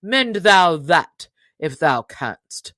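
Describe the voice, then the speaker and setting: Woman playing a gruff, proud young stranger, slow-spoken. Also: lowish voice, very animated, a bit bright, no echo, studio quality, full character voice. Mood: triumphant. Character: surly, proud young stranger, male cudgel fighter and archer. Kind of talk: storybook character reading.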